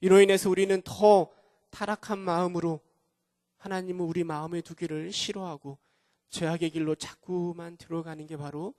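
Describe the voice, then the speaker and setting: Hoarse man preaching a sermon. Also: high voice, steady tone, normal speed, a little mellow, no room sound, clean studio sound. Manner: earnest, asks the congregation rhetorical questions. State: hoarse from a cold. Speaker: pastor. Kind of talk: sermon at a Friday prayer meeting. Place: church.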